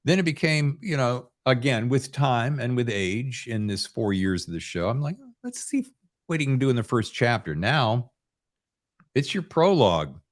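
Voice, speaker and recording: A man's voice: mid-range voice, sing-song, moderate pace. A middle-aged man hosting a casual podcast chat, rambling and salty-tongued as he tells his stories. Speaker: podcast host.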